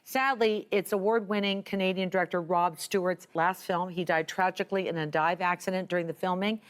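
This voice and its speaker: A woman's voice: on the low side, fairly even intonation, fairly quick, smooth. A woman hosting a daytime TV talk show, asking her guests questions. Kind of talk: daytime TV interview. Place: TV studio with audience.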